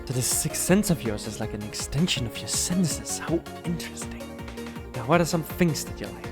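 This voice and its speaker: A man acts a courteous, encouraging school interviewer in an audio drama. His mid-range voice is measured and formal, with a touch of amusement, over clearly audible music. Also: medium pitch, lively intonation, natural voice. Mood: curious, amused. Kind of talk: audio drama character acting.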